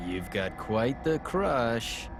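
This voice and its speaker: Smug, slow-drawling, mocking villain. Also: male voice, medium pitch, sing-song, exaggerated voice. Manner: drawling, taunting. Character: smug, teasing male villain, languid and mocking. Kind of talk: dramatic video game voice acting. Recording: music behind the voice.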